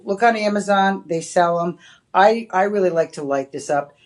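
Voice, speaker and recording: A woman with a Boston accent, hosting a live home shopping show and pitching products in a chatty, rambling way. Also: normal speed. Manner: chatty, rambling, with many "you know"s. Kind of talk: live on-air sales pitch. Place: TV shopping studio.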